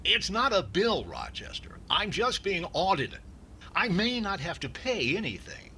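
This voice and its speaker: A man's voice: high voice, wildly swooping pitch, unhurried, bright, forceful tone, heavily acted. A man playing a fussy, stingy middle-aged comedian in 1940s radio comedy style, hesitant and exasperated. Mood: defensive. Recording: noisy radio play recording.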